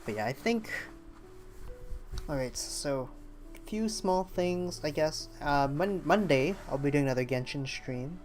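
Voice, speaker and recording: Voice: mid-range voice, expressive, unhurried, a little mellow, faint music behind. A game streamer chatting casually on a livestream, hesitant and rambling while thinking aloud. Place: indoors at a streaming setup.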